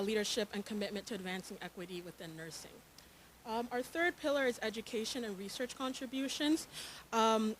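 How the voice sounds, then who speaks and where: Soft-voiced woman presenting at a panel. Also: on the high side, a little hiss or hum, poor audio. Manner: earnest, with frequent um and uh fillers. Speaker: nursing lecturer and panelist.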